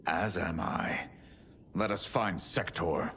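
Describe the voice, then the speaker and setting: An actor playing a solemn, dutiful warrior mage in a dramatic video game, formal and earnest. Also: male voice, low-pitched, fairly even intonation, slow, background noise. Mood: grim. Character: solemn noble male warrior mage, dutiful, self-sacrificing.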